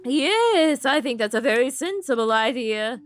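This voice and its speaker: A woman voicing a prim, polite and sensible game creature with a formal, measured manner. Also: high-pitched, lively intonation, soft background music. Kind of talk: game character read aloud.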